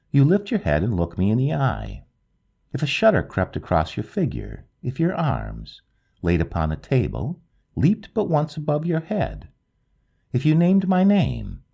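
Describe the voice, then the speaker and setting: A man with a low, gravelly voice reads a literary ghost story as an audiobook narrator. His delivery is slow and hushed, lingering on each phrase, while his pitch rises and falls widely from line to line.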